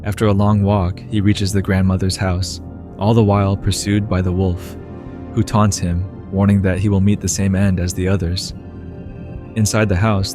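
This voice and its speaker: A man reading scripted narration for an explainer video. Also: sits low.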